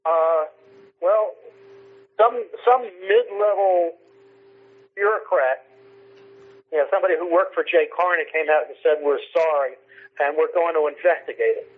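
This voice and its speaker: Male political commentator, raspy higher voice, conversational but emphatic, heard through a narrow phone line. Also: measured pace, long pauses. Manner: emphatic, repeats phrases for stress. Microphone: telephone. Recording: tinny, narrow telephone line.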